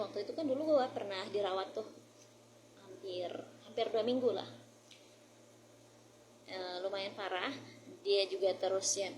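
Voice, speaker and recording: A woman's voice: medium pitch, background noise. A woman with an Indonesian accent talking casually as a vlogger, informally mixing Indonesian with English phrases. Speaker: vlogger sharing English-learning tips. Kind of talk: casual vlog chat.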